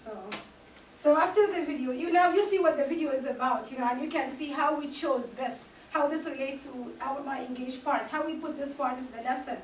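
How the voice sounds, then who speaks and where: High-voiced woman presenting in a classroom. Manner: halting, loosely phrased explaining. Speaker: student presenter. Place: large dim classroom. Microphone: far camera. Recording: echoey room from far off, noisy.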